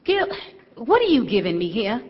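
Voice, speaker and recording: A woman's voice: on the high side, very animated, normal speed, slightly rough, big-room sound, muffled recording. An actress playing a stern, churchgoing mother who scolds in folksy, emphatic speech. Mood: guarded. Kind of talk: stage drama acting.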